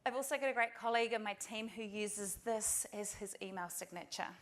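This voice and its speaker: A middle-aged woman with a New Zealand accent speaks at a conference through a presentation mic. Her pitch sits in the middle range, and she talks to the audience in an earnest, practical way. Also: a little fast. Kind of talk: conference talk.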